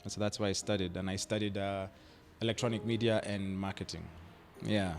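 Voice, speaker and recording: Laid-back Kenyan man, low voice. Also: brisk, faint music behind. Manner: relaxed, laughs often, uses "like" and "I mean" a lot. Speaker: actor guest. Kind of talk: radio interview, casual chat.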